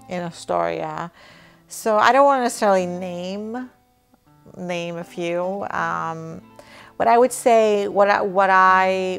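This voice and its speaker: A woman's voice: lowish voice, vocal fry, smooth. A woman in her thirties, raised in Greece, sitting on a living room sofa and reflecting thoughtfully in a documentary interview, often checking in with "right?". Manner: thoughtful, frequent restarts and "right?" tags.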